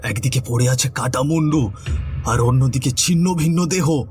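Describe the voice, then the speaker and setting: A man voicing a vengeful, grieving village man who speaks slowly and laughs sinisterly in a horror cartoon. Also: medium pitch, a little mellow, faint music behind, close to an everyday voice. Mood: menacing. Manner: slow, menacing, with sinister laughter.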